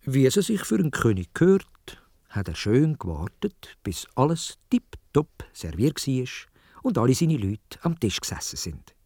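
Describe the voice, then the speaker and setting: A man with a Swiss German accent telling a children's story in a dramatic, expressive way, speaking directly to young listeners. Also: medium pitch, normal speed, slightly rough, no echo, clean studio sound. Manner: dramatic, addresses young listeners with questions and exclamations.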